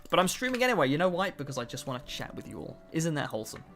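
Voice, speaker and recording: A man's voice: on the high side, very animated, rapid-fire, fairly bright tone, soft background music. A man in his twenties chatting casually on a livestream, answering chat and joking at his own expense. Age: 20s. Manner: chatty, self-mocking, answers chat between tasks. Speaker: streamer.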